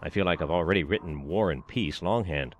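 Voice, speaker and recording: An actor playing a concerned elderly husband who gently coaxes and reassures his wife. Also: deep voice, fairly quick, a little hiss or hum, natural voice. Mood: coaxing. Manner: coaxing, reassuring.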